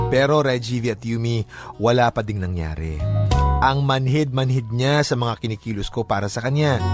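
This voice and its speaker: Radio host: Filipino man, expressive, mid-pitched voice, casual delivery, prominent music bed. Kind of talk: casual radio chat and story reading.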